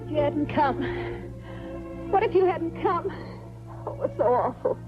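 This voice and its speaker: High-voiced, wronged film noir heroine. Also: female voice, expressive, measured pace. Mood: shaken, tearful. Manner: earnest, dramatic. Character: frightened, wronged young woman, defiant under suspicion. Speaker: film actress. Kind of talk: 1940s film noir acting. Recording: muffled 1940s film soundtrack with music behind.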